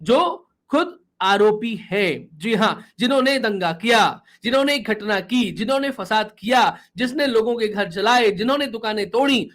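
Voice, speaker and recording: A man's voice: sits high, animated, normal speed, hardly any pauses, a bit bright. A man. He addresses the viewers directly as a host, explaining step by step and leaning on repeated phrases for emphasis. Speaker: political commentator and talk show host. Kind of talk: political commentary addressed to viewers.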